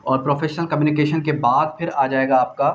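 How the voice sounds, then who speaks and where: A man's voice: a little high, fairly even intonation, brisk, lo-fi sound. A man with a Pakistani accent giving a law lecture, asking questions and answering them himself, repeating key phrases and mixing in English terms. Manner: mixes English legal terms into speech. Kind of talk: classroom lecture explaining definitions and articles.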